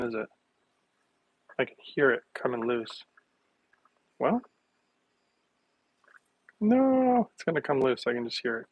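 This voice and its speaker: A middle-aged man chatting casually with livestream viewers while making a smoothie in his home kitchen, rambling from one thought to the next. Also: mid-range voice, dry recording, rough recording. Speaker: wellness vlogger.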